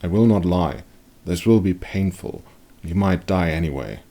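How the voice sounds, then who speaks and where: A man voicing a grim veteran monster hunter in a dark fantasy story, speaking gravely and honestly. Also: deep voice, expressive. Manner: grave, hushed, deliberate.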